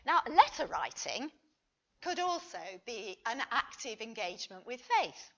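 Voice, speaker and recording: A woman lecturer reads a prepared paper in a slightly dull, lo-fi recording. Her delivery is unhurried and deliberate. She speaks expressively, with lively rises and falls across each sentence. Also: fairly high pitch. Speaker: lecturer reading a conference paper. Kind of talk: scripted academic lecture read aloud. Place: conference talk.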